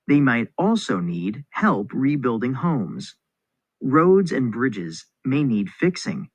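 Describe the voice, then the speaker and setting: A man reads scripted educational material as a narrator, in a clear instructional manner. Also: mid-range voice, dry recording. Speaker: narrator reading a textbook passage. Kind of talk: scripted educational read-aloud.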